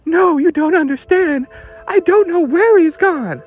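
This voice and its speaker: A man's voice: extremely high pitch, a little slow, darkish tone, dull audio, faint music behind, pitched above the performer's own voice. A man voicing a distraught, pleading wife as a melodramatic client in a 1940s detective radio parody.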